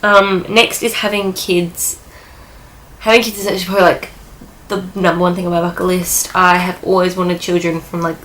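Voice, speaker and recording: A woman's voice: lowish voice, flat delivery, normal speed, fairly bright tone, a little hiss or hum, rough recording. A young woman from New Zealand and Australia chatting casually to her vlog viewers, rambling and often correcting herself with plenty of filler "like". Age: young adult. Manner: rambling, frequent "like" and self-corrections. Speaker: vlogger. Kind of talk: casual sit-down vlog chat.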